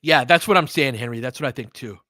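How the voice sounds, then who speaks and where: An American man gives conversational sports analysis in a very dry recording with little room sound. His pitch sits in the middle range for a man. He thinks aloud as he talks to listeners, leaning on words for emphasis. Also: fast, sharp tone, no echo. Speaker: sports show host and game commentator. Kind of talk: casual live basketball analysis, chatting with listeners.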